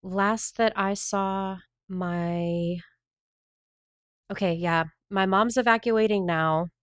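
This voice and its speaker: A woman's voice: mid-range voice, steady tone, takes it slowly, clean tone, no room sound, clean studio sound. A woman from the Southern United States chatting casually on a livestream, rambling and answering her viewers' chat messages.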